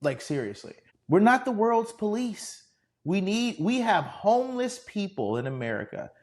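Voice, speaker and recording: A man's voice: high voice, big pitch swings, moderate pace. A forty-year-old man from Texas, hosting a solo podcast and talking emphatically straight to his audience with rhetorical questions. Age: 40s. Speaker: podcast host.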